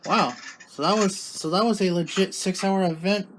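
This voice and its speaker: A man's voice: high-pitched, fairly even intonation, normal speed, noisy background. A man hosting a hobby video, giving casual commentary as he checks radio equipment results.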